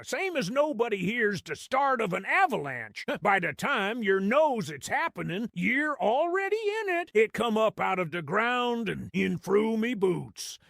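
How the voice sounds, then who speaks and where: A man voicing a small, cunning goblin-like grot with a gravelly Cockney-style accent, telling a war tale in a wry, conspiratorial way for an audio drama. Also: high voice, a bit bright, no room sound, studio quality, heavily acted. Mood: awed. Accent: Cockney-style English. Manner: wry, conspiratorial, streetwise, ungrammatical slang. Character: small cunning cowardly male grot narrator, wry storyteller. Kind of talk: in-character audio drama storytelling.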